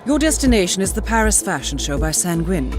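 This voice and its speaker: An actress playing a composed, shrewd intelligence handler in a game cutscene, speaking in a measured, formal and precise way over dramatic music. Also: female voice, mid-range voice, sing-song, close to an everyday voice. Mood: composed, serious. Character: composed, shrewd female spy agency handler. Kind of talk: scripted game cutscene briefing.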